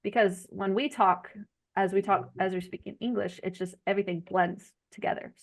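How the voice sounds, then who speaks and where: A woman's voice: medium pitch, steady tone, normal speed. Chatty woman with Arkansas-Jersey accent. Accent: blend of Arkansas Southern and New Jersey. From Arkansas, United States. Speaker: host of an online group chat. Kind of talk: casual group video chat. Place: video call.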